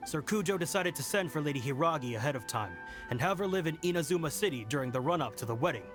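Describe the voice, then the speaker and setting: A formal guard officer. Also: male voice, fairly high pitch, animated, fast talker, a bit bright, soft background music. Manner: formal, reassuring. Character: dutiful male guard officer. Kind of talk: game voice acting.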